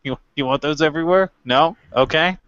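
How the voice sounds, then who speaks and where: A man's voice: fairly high pitch, fairly quick, fairly bright tone, clean tone, no echo. Blunt man on a dull, dry mic. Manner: blunt, sweary, self-deprecating. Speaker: podcast co-host. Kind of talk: casual wrestling banter. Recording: dull lo-fi microphone sound.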